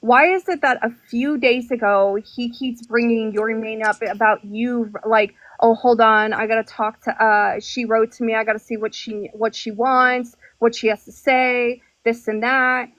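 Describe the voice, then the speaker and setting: A higher-voiced woman hosts an online chat and asks blunt questions in a flat, monotone delivery. Her voice is recorded close and dry. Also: moderate pace, hardly any pauses, dry recording, dull audio. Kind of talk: casual live-stream chat.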